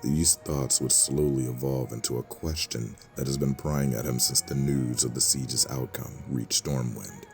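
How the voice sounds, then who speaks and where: A man narrating a fantasy audio drama in a measured, solemn storytelling manner. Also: very deep voice, vocal fry, faint music behind.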